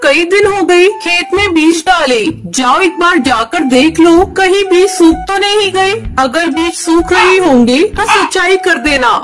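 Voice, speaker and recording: Woman voicing a very high-pitched little cartoon bird wife. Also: measured pace, few pauses, music in the background. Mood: instructing. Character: caring, practical female bird wife in a children's fable. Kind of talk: Hindi cartoon story voice acting.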